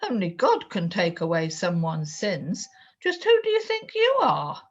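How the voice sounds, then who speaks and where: A woman voicing an indignant, quick-tempered father in a children's story, growling and challenging. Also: on the low side, wildly swooping pitch, dull audio, exaggerated voice. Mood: angry, indignant.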